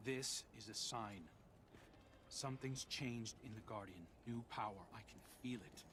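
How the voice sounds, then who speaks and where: A man playing a serious, hopeful scout in a video game, speaking in a measured, persuasive way. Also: mid-range voice, noisy background, close to an everyday voice. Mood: intrigued. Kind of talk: dramatic video game dialogue.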